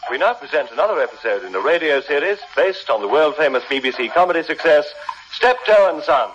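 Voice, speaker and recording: A man's voice: on the high side, sing-song, brisk, a bit bright, highs cut off, soft background music. A man announcing in vintage British broadcast style, with a British accent, on an old radio programme.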